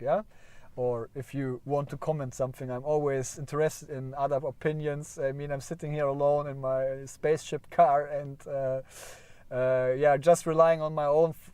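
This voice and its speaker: A man's voice: on the high side, mellow, clean tone, dry recording. A man with a German accent hosting a casual livestream, rambling and correcting himself as he chats with viewers. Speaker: livestream host.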